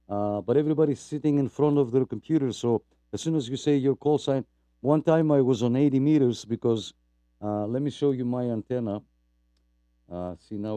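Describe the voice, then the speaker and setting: A man hosting a live radio net, talking in a casual, rambling way with plenty of fillers. His voice is dark and mellow, smooth and resonant, and it sounds very dry and close to the mic. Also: mid-range voice, no echo. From Greece. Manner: rambling, apologetic, chatty with many fillers. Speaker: net host.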